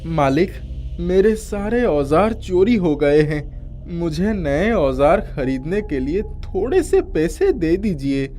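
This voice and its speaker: A man's voice: fairly high pitch, sing-song, slow pace, dark tone, soft background music. A man voicing a humble, troubled village woodworker in a moral story, pleading and deferential in manner. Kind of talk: acted dialogue in a moral story.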